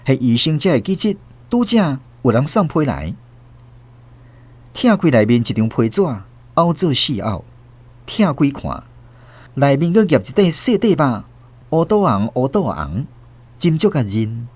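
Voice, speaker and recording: A man's voice: mid-range voice, very animated, measured pace, pauses often, darkish tone, no echo. A man with a Taiwanese accent reading dramatic scripted narration for a radio drama.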